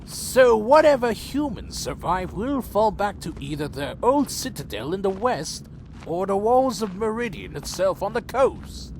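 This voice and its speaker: High-pitched, brooding winged vampire commander played by a man. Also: sing-song, unhurried, darkish tone, a little hiss or hum, rough recording. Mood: wry, clipped. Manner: formal, brooding, eloquent. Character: weary, dutiful, proud winged vampire clan leader. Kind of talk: fan audio drama narration and dialogue.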